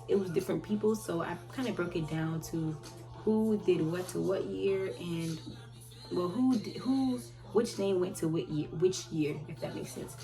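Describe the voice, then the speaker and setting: A woman in her twenties answering viewer questions in a rambling, halting way, often restarting and trailing off. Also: on the low side. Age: 20s. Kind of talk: casual chat. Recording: loud music playing behind her.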